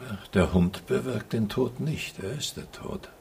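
An actor playing a pensive, melancholy film director in a German radio drama, musing earnestly. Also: male voice, mid-range voice, measured pace, gravelly, darkish tone, slight background noise.

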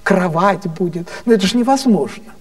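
Female government minister played by a male storyteller, high-pitched, earnest, coaxing, emphatic, slightly off-mic. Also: lively intonation, normal speed, far from the mic. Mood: coaxing, bossy. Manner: pleading, emphatic, endearing address. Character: sentimental, earnest female Soviet government minister, motherly and bossy.

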